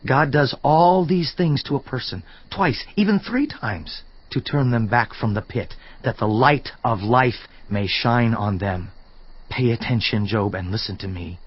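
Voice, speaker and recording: A man's voice: medium pitch, measured pace, husky. A man playing an earnest, indignant counselor in a dramatized scripture reading, solemn, emphatic and declamatory in delivery. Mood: commanding. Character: Elihu, earnest indignant male counselor rebuking a suffering man. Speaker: voice actor in audio Bible. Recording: slightly dull sound, music underneath. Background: background music.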